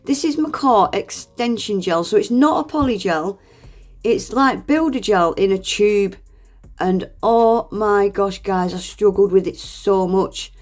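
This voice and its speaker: A woman's voice: medium pitch, a little slow. A woman, congested with a cold, chatting through a nail art tutorial voice-over in a friendly, self-deprecating way and laughing often.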